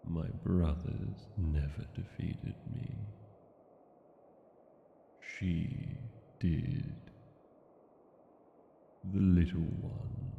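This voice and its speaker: A man playing a brooding, regretful dragon king in a fantasy audio roleplay, speaking in solemn, deliberate phrases with a deep, gravelly voice. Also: echoey room, in a large hall, highs cut off, slight background noise, full character voice. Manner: slow, deliberate, solemn phrasing with long pauses. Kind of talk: scripted fantasy audio roleplay, intimate monologue.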